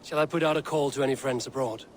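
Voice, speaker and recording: A man playing a steady, honorable Viking clan leader in a video game, speaking in a measured, formal way. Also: medium pitch, a little hiss or hum, close to an everyday voice. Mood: warm, honored. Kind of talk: dramatic game dialogue acting.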